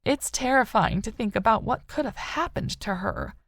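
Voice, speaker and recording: A woman narrator voicing an older mother, grieving and wistful yet still hopeful, with a soft, sorrowful, tender manner in an audiobook. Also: on the high side, lively intonation, moderate pace, no room sound, voice pushed up. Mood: haunted, sad.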